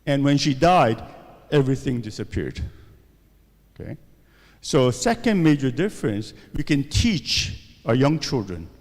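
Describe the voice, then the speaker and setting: A man's voice: medium pitch, very animated, measured pace, darkish tone, big-room sound. A man giving a university seminar lecture, asking rhetorical questions and often checking in with "okay?" and "right?". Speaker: seminar speaker.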